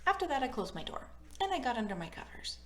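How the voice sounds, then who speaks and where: A woman's voice: sits high, big pitch swings, fast, fairly bright tone, a little hiss or hum. A woman hosting a children's storybook read-aloud, playful and informal as she chats to young listeners and narrates. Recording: roomy, echoey room sound.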